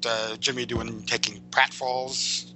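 A man's voice: mid-range voice, little pitch movement, takes it slowly, bright, forceful tone, a little hiss or hum, low-quality recording. A man as a podcast guest, chatting casually with wry jokes and mock-voiced asides. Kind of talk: casual, joking film chat.

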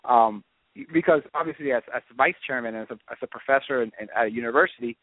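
Fast-talking man on a tinny phone line. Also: mid-range voice, dry recording. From Miami, born in Puerto Rico. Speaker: guest, local party vice chairman and political campaign surrogate. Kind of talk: political radio interview.

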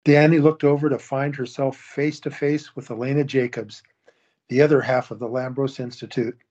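A man narrating an audio drama in a steady, measured way. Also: medium pitch, highs cut off.

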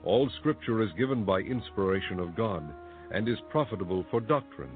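A man's voice: fairly low pitch, phone-quality sound. Man reading scripture over faint music. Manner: steady, measured recitation. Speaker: scripture reader.

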